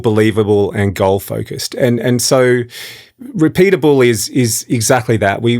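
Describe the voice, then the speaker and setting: Low-voiced middle-aged man chatting informally. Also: darkish tone. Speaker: audio engineer and voice director. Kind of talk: conversational explainer.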